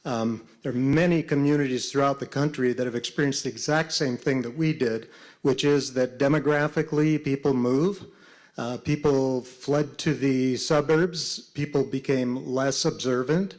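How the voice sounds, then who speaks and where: Male panelist, reflective and deliberate delivery. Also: mid-range voice, steady tone, darkish tone. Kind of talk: panel discussion.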